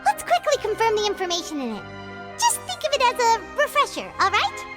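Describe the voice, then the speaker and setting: A woman voicing a tiny, chirpy, excitable fairy-like girl companion in a video game, chatty and exclamatory. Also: very high-pitched, a little fast, heavily acted. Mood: excited, surprised. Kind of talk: cartoonish video game voice acting. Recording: loud childlike music behind the voice.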